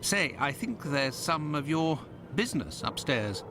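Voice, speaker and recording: A sharp detective. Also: male voice, a little high, big pitch swings, unhurried, over music. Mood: inquisitive. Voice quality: crisp, probing questions. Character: sharp, clipped adult male detective. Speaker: game character. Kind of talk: video game voice acting.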